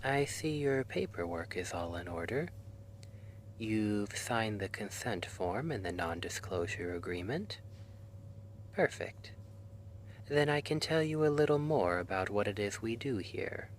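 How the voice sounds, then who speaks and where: A man playing a gentle, reassuring clinician at a dream institute in an ASMR roleplay, soft-spoken and soothing, often slipping into a whisper. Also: on the low side, animated, a little slow, a little hiss or hum. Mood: reassuring, explanatory.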